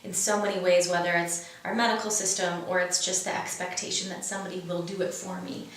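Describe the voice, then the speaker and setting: A woman's voice: lowish voice, flat delivery, fast talker, fairly bright tone. A woman guest explaining her wellness program in earnest, casual conversation. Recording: echoey room, distant mic.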